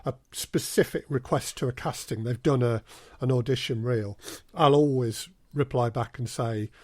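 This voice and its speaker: A man speaking as a podcast guest in a casual interview, explaining things in a measured, hesitant way with repeated phrases. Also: mid-range voice, no room sound, clean studio sound. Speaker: podcast guest, audio drama producer and casting director.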